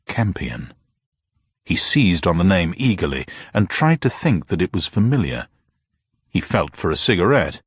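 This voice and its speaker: A man's voice: deep voice, big pitch swings, unhurried, husky, dry recording, studio quality. A man narrating a scripted mystery story in a dramatic style.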